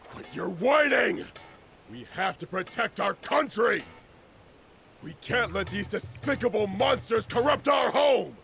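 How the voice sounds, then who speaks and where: A man's voice: high voice, lively intonation, a little slow, vocal fry, a bit bright, soft background music, exaggerated voice. A man playing an indignant, hot-headed young resistance soldier with an accusatory manner in a video game. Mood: outraged.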